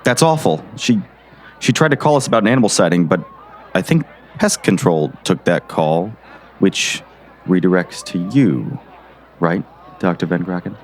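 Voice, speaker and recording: A man's voice: sits low, lively intonation, normal speed, pauses often, slight background noise. A man playing an earnest, hesitant small-town sheriff in an audio drama. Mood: concerned.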